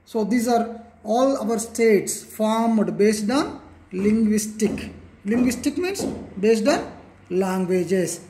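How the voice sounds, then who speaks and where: An unhurried Telugu-accented teacher lectures a class, voice mellow and dark, repeating points and checking in with frequent okays and clears. The sound rings around a reverberant room. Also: medium pitch, expressive. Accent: Telugu Indian English. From Telangana, India. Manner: repetitive, checks in with okay and clear. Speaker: school teacher. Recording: echoey room.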